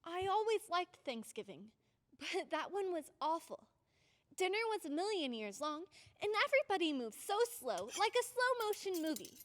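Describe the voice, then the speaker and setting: Very high-pitched little girl, acted by a woman. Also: sing-song, moderate pace, a bit bright, dry recording, full character voice. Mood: wistful recounting. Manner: pleading, urgent, dramatic. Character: excitable, insistent little girl, Margaret. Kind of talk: old-time radio drama acting with narration.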